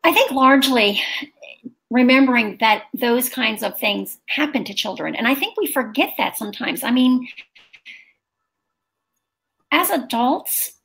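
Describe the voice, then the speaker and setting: A woman's voice: on the high side, unhurried, creaky, pauses often, a bit bright, rough recording. A middle-aged woman, a guest author, talking earnestly in an interview. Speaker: guest, children's book author. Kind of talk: casual interview chat.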